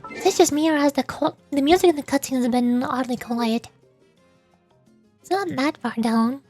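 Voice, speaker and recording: A woman with a high-pitched voice talks casually to her stream as she plays a game. Music plays behind her. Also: moderate pace, darkish tone. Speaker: streamer, VTuber. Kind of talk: casual livestream chat while gaming.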